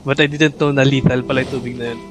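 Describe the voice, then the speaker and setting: A man with a Filipino accent giving live gameplay commentary, excitable and quick to shriek and laugh. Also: medium pitch, loud music behind the voice. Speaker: game streamer. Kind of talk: live gameplay commentary mixing Tagalog and English.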